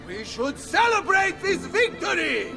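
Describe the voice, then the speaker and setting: A hearty Italian-accented military captain from a video game. His voice is pitched high and swings widely, emphatic and used to giving orders, with clear music behind it. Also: very animated, measured pace, bright, forceful tone, full character voice. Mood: jubilant, booming. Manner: emphatic, commanding, swings from low to booming. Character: boisterous, martial Venetian mercenary captain, loud and hearty. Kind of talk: theatrical video game acting.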